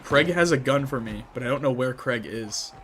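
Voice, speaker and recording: A man's voice: medium pitch, animated, moderate pace, fairly bright tone, a little hiss or hum. A man from the Midwestern United States with a Midwestern American accent, streaming a game and casually answering chat messages with frequent laughter. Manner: reads chat messages aloud and answers them, laughing often. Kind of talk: casual livestream chat while gaming.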